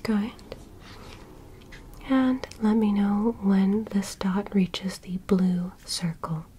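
A woman whispering as a gentle, curious alien doctor in a soft, soothing ASMR medical roleplay. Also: mid-range voice, steady tone, a little hiss or hum. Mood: soothing, clinical. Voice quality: breathy unvoiced whisper. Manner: soft, slow, soothing reassurance with clinical instructions. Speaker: ASMR performer.

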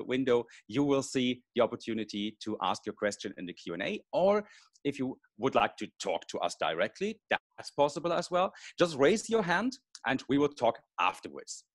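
A man's voice: medium pitch, dry recording. A man in his forties hosting a conversational online interview, speaking into a headset mic. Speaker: host of an online travel talk. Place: small room at home, video call. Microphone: headset boom mic.

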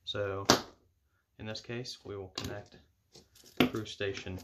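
A man giving measured, matter-of-fact step-by-step instructions as he demonstrates an equipment setup indoors at a table. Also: on the low side, fairly even intonation. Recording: clear background noise with clicks.

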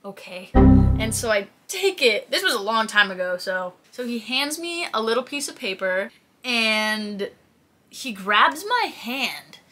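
A woman's voice: a little high, very animated, measured pace, fairly bright tone, soft background music. A woman, chatting casually to camera and acting out the stories she tells. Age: 20s. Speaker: fitness content creator. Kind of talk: casual chat, answering viewer questions to camera. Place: small plain indoor room, seated.

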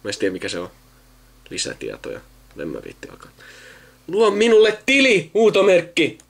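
A man's voice: high-pitched, huge pitch swings, vocal fry, husky. A young Finnish man in his late teens chatting casually and reading the screen aloud in mumbled asides. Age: late teens, young adult. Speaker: video maker narrating an account sign-up. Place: indoors at a computer. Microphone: handheld camera filming a monitor.

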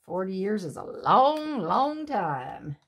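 A woman in her sixties chatting at home while she makes a collage at her craft table, with a breathy, sniffly voice, laughing asides and remarks to herself. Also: medium pitch, big pitch swings, slow pace. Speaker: craft channel host. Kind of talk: casual chat while making a collage. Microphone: overhead camera on the desk, hands only in frame.

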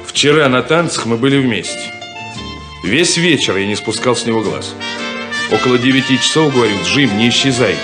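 An actor playing a bossy, sarcastic elder brother in a 1970s radio play, scolding and insistent. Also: male voice, medium pitch, animated, normal speed, over music, natural voice. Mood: reproachful.

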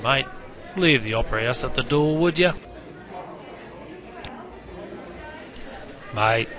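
A man's voice: mid-range voice, natural voice. A man playing a cheeky, matey barman in an interactive game, with a broad Australian accent and heavy slang. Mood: teasing. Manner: jokey, teasing, heavy slang. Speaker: bartender guide. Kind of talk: interactive game character patter. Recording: busy crowd noise behind. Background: crowd chatter.

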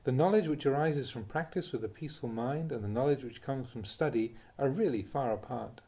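A man reading a Buddhist dharma talk aloud as a monk, in measured, deliberate phrases with pauses. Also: mid-range voice, warm tone.

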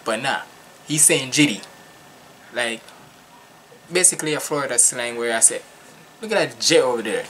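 Reaction host: young man from Jamaica, animated and casual, sharp bright delivery, home recording with slight background noise. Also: medium pitch, normal speed, long pauses. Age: young adult. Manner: animated, slangy, emphatic, repetitive. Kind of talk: casual music reaction commentary. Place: small furnished room at home.

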